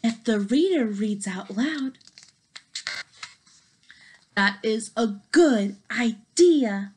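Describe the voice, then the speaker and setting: Young woman doing a kids read-aloud, really slow n playful, high voice. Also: animated, darkish tone, a little hiss or hum. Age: young adult. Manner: dramatic, playful. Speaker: storybook reader. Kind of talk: expressive children's read-aloud. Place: small bedroom, indoors.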